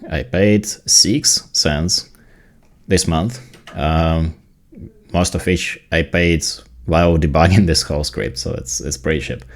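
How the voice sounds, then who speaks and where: Very deep-voiced Ukrainian man explaining tech. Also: takes it slowly. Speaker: tech video creator. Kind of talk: casual software tutorial narration.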